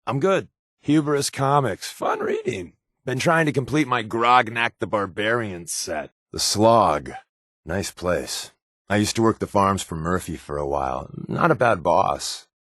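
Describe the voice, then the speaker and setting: An American actor playing a sardonic, wisecracking secret agent companion in a video game, with a wry, offhand delivery. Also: male voice, on the low side, big pitch swings, measured pace, a bit bright, dry recording, clean studio sound, exaggerated voice.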